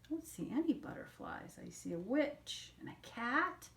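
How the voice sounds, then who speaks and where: An older woman reading a children's picture book aloud as a storyteller, pausing to explain things to young listeners. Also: high-pitched, big pitch swings.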